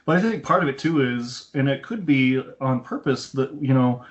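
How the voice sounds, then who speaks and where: A man's voice: mid-range voice, expressive, normal speed. Man on a dull lo-fi internet call. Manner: explanatory, retells scenes with quoted dialogue. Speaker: podcast co-host. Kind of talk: casual film discussion. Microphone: Skype call.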